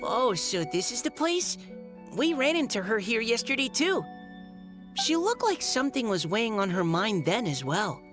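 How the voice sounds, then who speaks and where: An actress voicing a gentle, thoughtful young woman in a video game, speaking politely and earnestly, a little tentative. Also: on the high side, sing-song, normal speed, music in the background. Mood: concerned. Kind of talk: video game cutscene acting.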